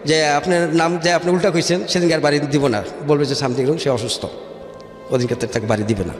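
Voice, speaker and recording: A man's voice: on the high side, steady tone, a little fast. A man with a Bangladeshi accent recounts his life story in an interview, dropping in English words and checking that the listener follows. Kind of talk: personal storytelling in a radio interview. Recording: echoey reverberant room with music behind. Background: background music.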